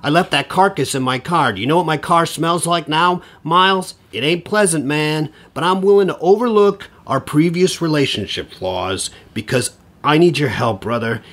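A rambling, foul-mouthed middle-aged suburban dad. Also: male voice, fairly high pitch, normal speed, few pauses, fairly bright tone. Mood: frantic, pleading. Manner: rambling, profane, stammering. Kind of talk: podcast audio drama acting.

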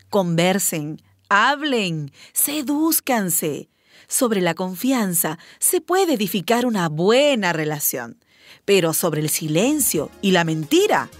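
A woman's voice: medium pitch, no room sound, clean studio sound. A woman playing a frank, playful doctor who hosts a sex-advice radio show, chatty and emphatic. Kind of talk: radio drama advice programme.

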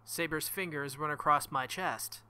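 Bright, forceful man reading a game aloud. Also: a little high, moderate pace, clean tone. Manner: jokey, exclamatory asides. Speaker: let's-play host. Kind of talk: visual novel read-aloud with joking asides.

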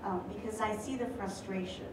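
A woman's voice: medium pitch, fairly even intonation, fairly quick, noisy background. A woman professor of Spanish asking a question from the audience, hesitant with many ums. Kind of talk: audience question at live event. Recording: echoey hall, far from mic.

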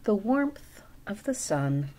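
A middle-aged woman reading a picture book aloud as a storytime reader, with chatty asides and expressive, drawn-out emphasis. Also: mid-range voice, a little hiss or hum. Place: small room at home.

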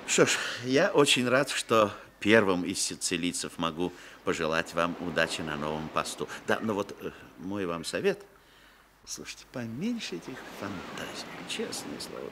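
An actor playing a genial, sly landowner of about sixty in a radio drama, chatty and hesitant with veiled menace. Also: male voice, lowish voice, wildly swooping pitch, normal speed. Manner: chatty, hesitant, chuckling, insinuating. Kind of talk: Soviet radio drama acting. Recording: noisy vintage radio drama recording.

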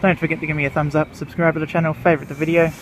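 A man's voice: a little high, flat delivery, very fast. Australian man narrating at a train platform. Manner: matter-of-fact, naming trains as they pass. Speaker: train vlogger. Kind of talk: casual vlog commentary. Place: outdoor railway station platform. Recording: outdoor camera audio with train noise. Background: passing trains and station noise.

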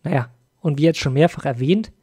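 A man's voice: on the high side, brisk, dark tone, slightly rough. A man hosting a video as an informal review voice-over, speaking directly to viewers. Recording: music behind the voice. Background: music.

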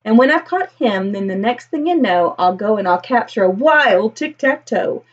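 A woman's voice: fairly high pitch, expressive, moderate pace, breathy edge, dull audio. A woman in her thirties reading a children's picture book aloud expressively, with a singsong, rhythmic delivery. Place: small indoor room with bookshelves.

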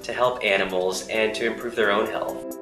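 A man's voice: fairly low pitch, fairly even intonation, normal speed, roomy sound, loud music behind the voice. A man in his thirties, an animal advocacy campaign coordinator, giving earnest, assured interview answers into a handheld mic in an office. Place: office room indoors.

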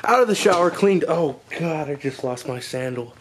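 A man's voice: on the high side, expressive, normal speed, slight background noise. A young man talking to a handheld camera at home in a joking, teasing way. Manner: joking, teasing, laughs often. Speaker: vlogger. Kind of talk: casual vlog chat. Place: small house rooms, indoors. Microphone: handheld camera at arm's length.

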